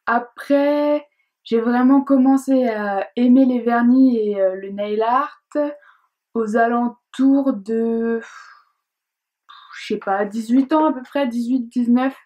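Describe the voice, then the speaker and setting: Young woman, beauty vlogger, fairly high pitch, easygoing chatty delivery full of fillers. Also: slow, lo-fi sound. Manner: chatty, hesitant, many fillers and restarts; reads questions aloud in a brighter voice. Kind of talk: casual chat to camera.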